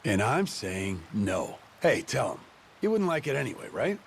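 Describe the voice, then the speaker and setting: A man's voice: medium pitch, sing-song, normal speed, creaky, a little hiss or hum. A man voicing a protective, grumbling father in a video game dub, firm and informal. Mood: stern, exasperated.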